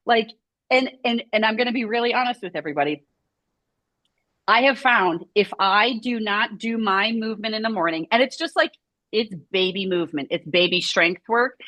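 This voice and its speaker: A woman's voice: on the high side, moderate pace, bright, forceful tone, no echo. A woman who runs a scoliosis movement studio, chatting casually and rambling with lots of "like" fillers. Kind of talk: casual video chat conversation.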